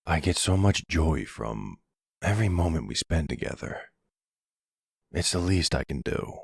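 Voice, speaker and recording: Man acting a deep, gravelly mafia boss. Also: animated, dry recording, studio quality, exaggerated voice. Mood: tender, relaxed. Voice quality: low, gravelly. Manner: unhurried, affectionate, measured. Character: tender silver-fox mafia boss, older man, calm and devoted. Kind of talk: intimate boyfriend roleplay audio drama.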